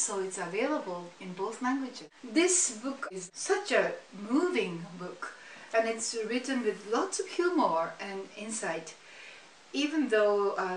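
A middle-aged woman interviewing politely and attentively in a living room. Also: medium pitch. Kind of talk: formal interview questions. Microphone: far camera. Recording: roomy, distant home recording with faint noise.

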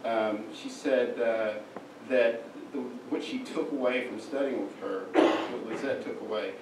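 A man with an Oklahoma accent giving a slide lecture in a darkened hall, halting with many fillers and restarts. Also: mid-range voice. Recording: echoey room from far off, noisy.

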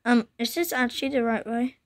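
A young woman with a croaky voice chatting casually as she plays a computer game, recorded on a phone she holds up to her laptop screen at home. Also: on the high side, a little fast. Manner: chatty, exclaims often. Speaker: gaming channel host. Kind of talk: casual gameplay commentary. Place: indoors at home, rain outside. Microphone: phone held while filming a laptop screen.

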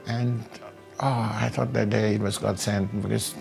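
An older man from a small island, telling his personal story in an interview in a reflective, halting way. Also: on the low side, little pitch movement, vocal fry. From small Pacific island north of Fiji. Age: older, about 60. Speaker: housing tenant giving a testimonial. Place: small living room at home, indoors. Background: background music.

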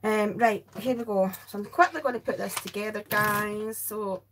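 A woman's voice: medium pitch, fairly even intonation, moderate pace, background noise. A tired middle-aged Scottish woman chatting in a rambling way while crafting live and answering viewers. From Scotland. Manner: chatty, rambling, self-interrupting. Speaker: crafting livestream host. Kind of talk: casual chat while crafting, reading viewer comments. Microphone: overhead camera over craft desk.

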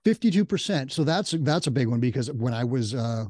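Fast-talking male interviewer, close dry mic. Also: mid-range voice, animated, darkish tone, dry recording. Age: middle-aged. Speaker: podcast host and interviewer. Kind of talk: conversational business interview. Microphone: boom-arm studio microphone with headset.

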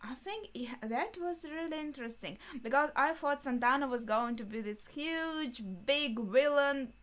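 A woman in her twenties giving casual reaction commentary, thinking aloud in a halting way in a small bedroom. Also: sits high, fairly bright tone. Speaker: reaction video host.

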